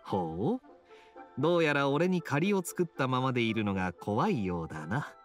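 An actor voicing a suave, teasing young knight in a video game, with a smooth, playful, unhurried delivery. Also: male voice, medium pitch, very animated, soft background music, lightly acted. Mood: teasing, sly. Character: suave, teasing young male knight with sly gambler's wit. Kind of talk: video game dialogue acting.

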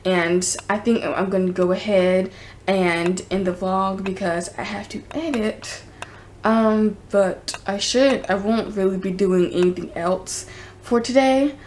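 Young american girl vlogger just chatting, kinda rambly and halting, normal pitch, bit of background noise on the camera mic. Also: steady tone, a little mellow. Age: teens to young adult. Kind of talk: casual chat to camera. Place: indoors, home room. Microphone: camera at arm's length. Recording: noisy handheld camera recording with room ambience.

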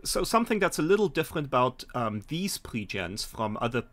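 A man's voice: mid-range voice, big pitch swings, fairly quick, a bit bright. A middle-aged man speaking as host and game master, explaining a scenario over a video call from home.

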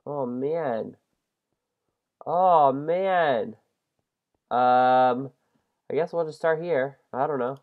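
A young man with a head cold and a congested voice, hosting a casual game let's-play, excitable and self-mocking. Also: mid-range voice, very slow, pauses often, phone-quality sound. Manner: excitable, self-deprecating, shrieks at mistakes. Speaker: gaming channel host.

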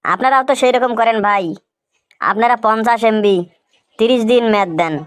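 A woman's voice: on the high side, a little fast, smooth, dry recording, studio quality, close to an everyday voice. A woman with a Rangpur Bangladeshi accent voicing a sly, argumentative shopkeeper in a comic cartoon, talking like a mock salesman. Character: sly, vengeful shopkeeper with absurd offers.

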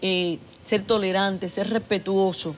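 A woman's voice: mid-range voice, fairly even intonation, takes it slowly, a little hiss or hum. This is a middle-aged woman, speaking as a guest in a television studio. She talks earnestly, restarting and repeating herself as she works through her points. A faint studio ambience sits underneath her lapel-mic sound. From Dominican Republic. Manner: earnest, repetitive, restarts often. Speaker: fashion designer guest. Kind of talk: TV studio interview. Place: TV studio set.

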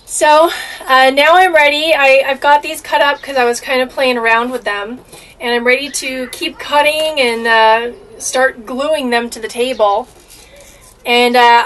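A woman's voice: a little high, recorded from a distance, low-quality recording. Chatty young woman vlogging in an RV. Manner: chatty, excited, many fillers. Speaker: RV DIY vlogger. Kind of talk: casual DIY narration. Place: inside an RV at a dining table. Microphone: camera mic from across the table.

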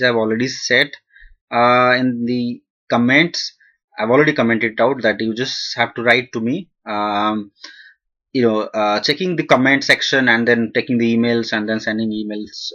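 A man's voice: medium pitch, fairly bright tone, highs cut off. A young man with an Indian accent, his first language not English, answering viewer questions in a recorded slide lecture, full of "uh" fillers and restarts. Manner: hesitant, many "uh" fillers and restarts. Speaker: analytics instructor.

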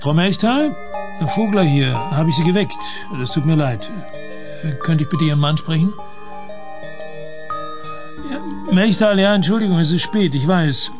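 Male minister: courteous, apologetic, halting, slightly high pitch, radio thriller. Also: animated, over music. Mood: apologetic, hurried. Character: polite, flustered male government minister on phone. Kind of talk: radio drama acting.

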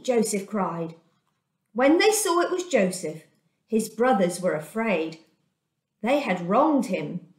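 A woman in her fifties reading a children's storybook aloud in a deliberate, expressive way, recorded indoors in a room at home. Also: a little high. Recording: echoey domestic room.

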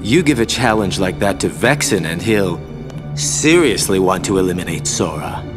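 A man's voice: sits low, very animated, moderate pace. A man playing a sly young schemer in a game cutscene, wry, casual and flippant. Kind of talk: video game cutscene acting. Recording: music under the voice.

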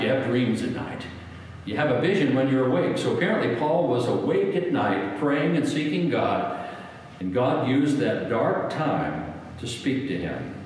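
An older American man preaching a sermon as a pastor, repeating phrases for emphasis with deliberate pauses. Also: mid-range voice, darkish tone. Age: 60s or older. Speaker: guest preacher, pastor and former military chaplain. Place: church sanctuary, at a wooden lectern. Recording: very echoey church hall, long reverb.

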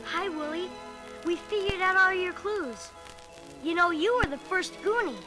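A woman's voice: very high voice, unhurried. A woman voicing an eager young treasure-hunting boy on a read-along storybook record, with music behind.

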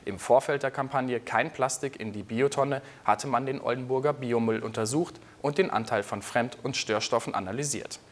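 A man's voice: medium pitch, moderate pace, few pauses. A man in his twenties presenting scripted news in a studio. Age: 20s.

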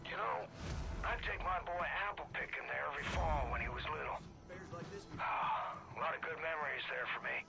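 Creaky-voiced male resistance ally. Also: a little high, a little fast, over loud music. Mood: wistful. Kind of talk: video game character dialogue.

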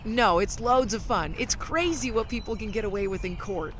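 A woman's voice: mid-range voice, moderate pace, a little hiss or hum, lightly acted. A woman playing a chatty, caring young lawyer in an amateur audio drama. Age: young adult.

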